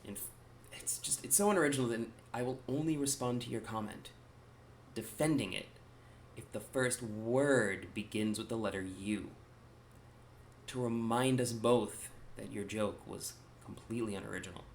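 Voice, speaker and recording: A man's voice: fairly low pitch, normal speed, creaky, a little hiss or hum. A man, a gaming channel host, talking casually to his viewers in a rambling, wry way with self-deprecating asides. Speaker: gaming YouTuber.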